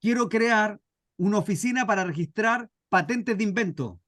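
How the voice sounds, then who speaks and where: Man acting an insistent, high-voiced Chilean inventor. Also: lively intonation, moderate pace, a bit bright. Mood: insistent, exasperated. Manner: insistent, circular, repetitive pleading. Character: insistent, exasperated male inventor arguing at a counter. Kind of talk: acted workshop anecdote.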